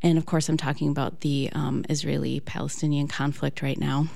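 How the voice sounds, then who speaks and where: A woman with a low, gravelly voice and an American accent hosts a casual podcast chat. She talks loosely, with frequent "um"s as she gathers her thoughts. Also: level tone, fairly quick, dark tone. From United States. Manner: chatty, many "um" fillers. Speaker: podcast host. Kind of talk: casual interview.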